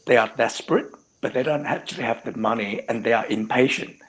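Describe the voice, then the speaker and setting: A man's voice: medium pitch, fairly quick, fairly bright tone, poor audio. Earnest male surgeon explaining on a panel. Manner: earnest and explanatory, frequent "actually". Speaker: hair transplant surgeon, panelist. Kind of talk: live stream panel discussion.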